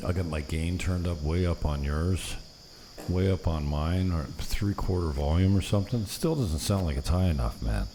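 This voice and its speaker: Very deep-voiced man, offhand and grumbling. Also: normal speed, mellow. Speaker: podcast host. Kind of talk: casual chat, muttering about setup. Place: living room of small apartment, emptied of furniture. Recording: noisy echoey living room, insects.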